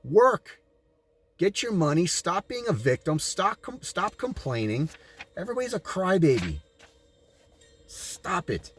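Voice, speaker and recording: A man's voice: high-pitched, sing-song, measured pace, pauses often, soft background music. An American man reading aloud and adding his own commentary in an emphatic, preachy manner, like a sermon. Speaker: audiobook reader and commentator.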